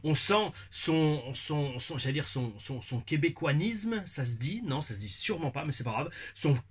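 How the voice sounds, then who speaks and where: A man's voice: fairly high pitch, animated, normal speed, slightly rough. A man in his forties hosting a casual wrestling review video, exuberant and rambling, repeating his catchphrases.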